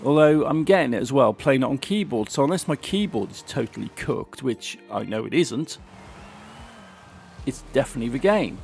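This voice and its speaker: A man talks through a racing game in a relaxed, chatty commentary. His voice is croaky and gravelly from a sore throat. Faint game music plays underneath. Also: medium pitch, normal speed. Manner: chatty, grumbling about the game. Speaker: gaming channel host. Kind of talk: casual gameplay commentary.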